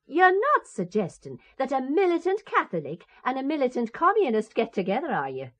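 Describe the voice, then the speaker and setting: A woman's voice: high voice, huge pitch swings, normal speed, lo-fi sound, full character voice. An actress playing a devout, feisty Irish woman in an audio drama, with an Irish accent and a spirited, teasing, argumentative manner. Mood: wry, teasing.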